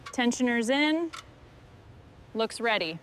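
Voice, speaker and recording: A woman's voice: on the high side, animated, slow pace, slight background noise. A woman working as a mechanic, giving short workshop instructions and commentary on a truck restoration.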